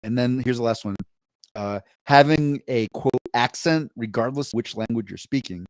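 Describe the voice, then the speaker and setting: A man hosts a podcast, rambling upbeat and catching himself mid-sentence as he talks and reads posts aloud. His pitch is ordinary middle. The sound is dry and close to the mic. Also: normal speed, dry recording, rough recording. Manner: rambling and self-correcting, upbeat. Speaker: podcast co-host. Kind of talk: casual chat, reading posts aloud.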